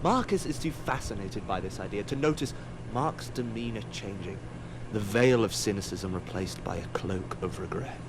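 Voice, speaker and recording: Man as a low-voiced, pompous otherworldly narrator. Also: animated, normal speed, background noise. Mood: detached, condescending. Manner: wry, pompous, digressive. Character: aloof, musing otherworldly narrator observing mortals, easily distracted. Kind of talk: audio drama narration.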